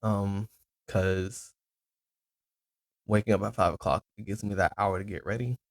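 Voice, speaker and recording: Man in his twenties, low-pitched, casual rambling podcast host. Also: steady tone, a little slow, dry recording, clean studio sound. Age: 20s. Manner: rambling, loose, with many ums and self-corrections.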